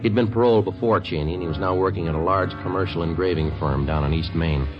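An actor playing a terse, dogged police detective in 1950s radio drama style, clipped and matter-of-fact. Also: male voice, low voice, fast talker, close to an everyday voice. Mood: sober, factual. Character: terse, dogged male police detective sergeant narrating his case. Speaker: detective and narrator. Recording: muffled old radio recording, music.

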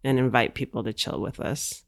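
A woman's voice: extremely low pitch, steady tone, moderate pace. A woman speaks as an interview guest in a small office. She tells her story casually and conversationally, as a business owner looking back.

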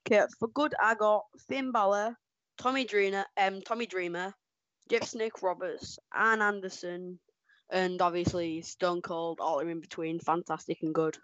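Excitable woman podcast co-host. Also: on the low side, unhurried, dry recording, lo-fi sound. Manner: excitable, laughs often, animated outbursts. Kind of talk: casual chat ranking wrestlers.

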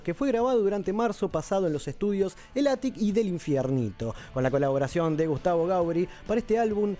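Man with a warm, high-pitched announcer voice. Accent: Argentine Spanish. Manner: steady announcer delivery reading dates and venues. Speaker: radio show host reading music news. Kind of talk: scripted news reading.

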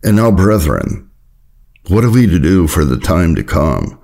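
Deep male narrator, lots of fry in the voice, solemn measured reading of old religious text. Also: big pitch swings, darkish tone. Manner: earnest, measured, formal delivery. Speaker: audiobook narrator of Puritan writings. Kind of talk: solemn read-aloud of an old sermon.

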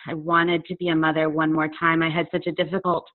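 A woman in her forties speaks in a slightly low voice, thoughtful and candid, halting now and then as she gathers her words. Her sound comes through a narrow, phone-like video call line. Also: level tone. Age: 40s. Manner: candid, reflective, halting with fillers. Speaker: poet and author guest. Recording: tinny phone-like audio over a video call.

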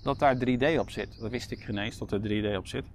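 A middle-aged man chatting casually as a podcast co-host, telling things in a halting, rambling way. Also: on the low side, fairly even intonation, slight background noise. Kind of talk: casual chat.

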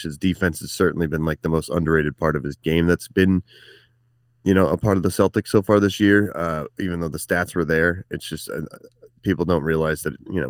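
A man's voice: very deep voice, no echo, clean studio sound. A man co-hosting a casual sports podcast, talking in an easygoing, chatty way with frequent fillers. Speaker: podcast co-host.

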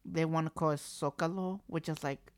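A man's voice: a little high, steady tone, normal speed, no room sound. A man in his thirties telling a personal story for his video series in a candid, rambling way, often saying "trust me" and sometimes cursing. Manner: rambling and frank, leaning on "trust me" and "like"; occasional mild cursing. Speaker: vlogger. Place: indoors at home, construction noise nearby.

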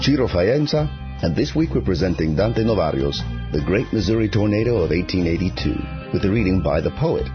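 A man hosting a podcast, reading a scripted introduction and sign-off. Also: low voice. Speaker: podcast host. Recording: dull lo-fi audio with music. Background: music.

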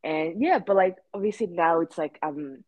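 A woman's voice: on the low side, animated, moderate pace, no echo, highs cut off. A woman in her twenties from Rwanda, co-hosting a casual podcast chat and rambling with many fillers and restarts. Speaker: podcast co-host.

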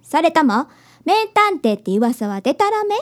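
Woman as a high-voiced, haughty little schoolgirl. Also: big pitch swings, unhurried. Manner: haughty, cool, bossy. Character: cheeky, precocious little schoolgirl who challenges detectives. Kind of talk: radio drama acting.

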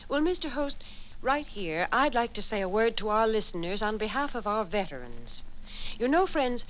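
Lively 1940s radio announcer woman. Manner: friendly, folksy sales pitch. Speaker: commercial announcer. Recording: noisy 1940s radio recording.

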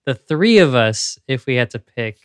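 A man with a deep, smooth, resonant voice talks eagerly and loosely. The sound is dry and close, with no room around it. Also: big pitch swings, normal speed, no room sound. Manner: enthusiastic, chatty, frequent fillers. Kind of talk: casual reaction chat.

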